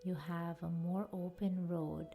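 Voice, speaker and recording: A woman with a soft, breathy voice that often nears a whisper, guiding a meditation in a soothing, deliberate manner. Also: lowish voice, flat delivery. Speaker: podcast host and yoga nidra guide. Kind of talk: guided meditation narration. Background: faint music.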